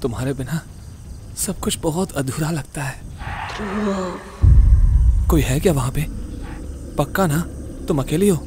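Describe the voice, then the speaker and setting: A man playing an earnest, excitable young ghost-case solver in a horror-comedy audio drama, colloquial and mixing in English. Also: a little high, a little slow, long pauses, background noise, lightly acted. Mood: tender, longing. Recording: dramatic music under voice.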